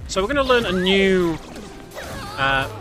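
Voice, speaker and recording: A man's voice: high voice, very animated, unhurried. A man giving casual, explanatory commentary on a video game walkthrough, talking the viewer through as "we". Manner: explanatory, speaking as "we". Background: game music.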